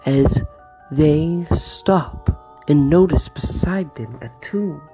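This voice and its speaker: Very slow, suspenseful male narrator. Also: on the high side, mellow. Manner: slow, suspenseful, drawn-out phrases. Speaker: game master narrator. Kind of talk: dramatic scripted fantasy-horror narration. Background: background music.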